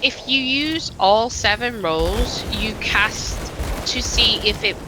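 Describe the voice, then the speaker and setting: A woman's voice: fairly high pitch, takes it slowly, noisy background. A woman streamer chatting casually while gaming, rambling with many fillers and restarts into a desk microphone.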